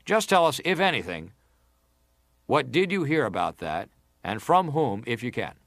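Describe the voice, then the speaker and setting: A man's voice: medium pitch, very animated, fairly bright tone, no echo. Persistent male senator interrogating, dry sound. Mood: probing, insistent. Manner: deliberate, formal, pressing questions. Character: probing, persistent senator questioning a witness. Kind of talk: dramatised reading of hearing interrogation.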